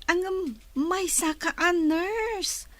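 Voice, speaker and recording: High, unhurried, coaxing aunt. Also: female voice, fairly bright tone, no echo, heavily acted. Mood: inquisitive. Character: affectionate godmother and aunt, sociable and inquisitive. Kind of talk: radio drama acting.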